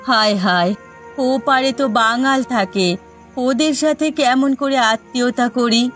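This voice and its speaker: A woman's voice: a little high, unhurried, a bit bright. A woman acts out a middle-aged village mother in a cartoon. She teases and chides in an indulgent, doting way. Music sits behind the voice. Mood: concerned, indulgent. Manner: chiding, indulgent. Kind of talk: Bengali cartoon dialogue.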